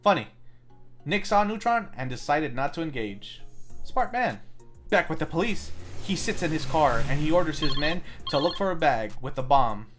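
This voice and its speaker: A film review host reads a scripted recap in a very animated voice that moves up and down a lot. The narration is peppered with tongue-in-cheek asides. Music plays softly under the voice. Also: mid-range voice, normal speed.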